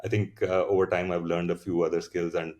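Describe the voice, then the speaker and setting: A man's voice: low-pitched, flat delivery, brisk, darkish tone. A man in his thirties with an Indian English accent, a founder telling his story in a casual interview, reflective, with many fillers and restarts. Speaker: founder, podcast guest.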